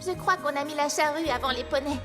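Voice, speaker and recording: Une femme jouant un petit poney à voix très aiguë. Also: female voice, high voice, fast talker, over music, close to an everyday voice. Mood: reflective. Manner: childlike, excitable, expressive. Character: eager, boastful little pony schoolchildren. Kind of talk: French cartoon dub acting.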